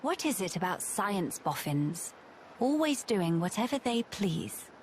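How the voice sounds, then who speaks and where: A woman's voice: mid-range voice, sing-song, a little slow, a little hiss or hum. A woman playing a poised, regal young warrior in a video game, formal and composed. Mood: mildly exasperated. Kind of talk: video game cutscene acting.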